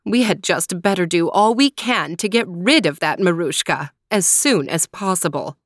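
A woman's voice: on the low side, expressive, moderate pace, no room sound, clean studio sound. A woman voicing a jealous, scheming stepmother in a storybook reading, plotting in a raised voice. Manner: plotting, scornful.

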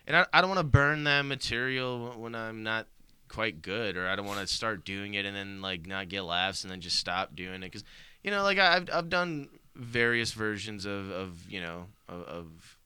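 A man's voice: lowish voice, moderate pace, bright, forceful tone, smooth, dry recording. A young man from Bosnia and Herzegovina, a comedian guest on a podcast, rambling casually with lots of swearing, restarts and laughter. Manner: rambling, profane, laughs often, many restarts.

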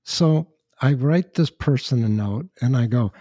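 An older man with a slightly raspy voice, chatting casually as a podcast host and telling rambling anecdotes with dry asides. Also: mid-range voice, expressive, unhurried, warm tone, dry recording. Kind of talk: casual chat, storytelling.

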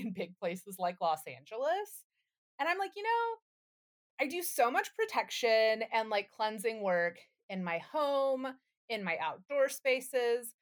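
A woman co-hosting a podcast in casual, slangy chat, irreverent and freely swearing. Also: mid-range voice. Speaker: podcast co-host.

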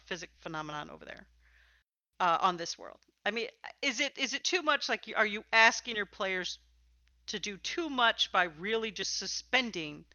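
Bright-voiced woman interviewer, dry sound. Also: mid-range voice, no echo. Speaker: podcast host and interviewer. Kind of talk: conversational interview.

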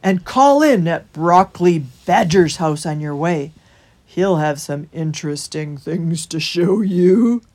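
A woman's voice: fairly low pitch, expressive, slow pace, a little mellow. Woman voicing a hearty, kindly hedgehog gatekeeper. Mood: cautioning.

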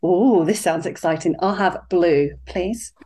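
Podcast guest, British woman, lower voice, chatty and relaxed. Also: a little slow, husky. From United Kingdom. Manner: chatty, easygoing, with fillers. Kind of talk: casual interview chat.

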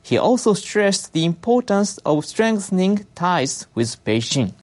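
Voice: medium pitch, sing-song, unhurried. A news reader delivering scripted international news narration.

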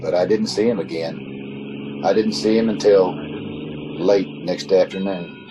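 A man's voice: sits low, a little slow. A man playing a haunted, uneasy motorist in an old-time radio suspense drama, recorded over a video call. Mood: brooding dread. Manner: brooding in narration, hesitant and stammering in dialogue. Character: haunted, uneasy male motorist narrating his ordeal. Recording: video call with clear background noise.

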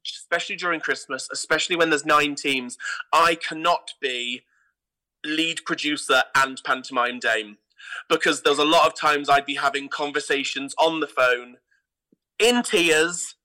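A man talking as an interview guest, telling anecdotes in an animated, theatrical way. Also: a little high, unhurried, rough recording. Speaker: guest, theatre producer and pantomime dame.

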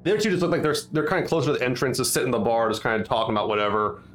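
A man's voice: medium pitch, lively intonation, racing, soft background music. A man narrating as game master in casual, filler-heavy talk. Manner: casual, hesitant, lots of fillers.

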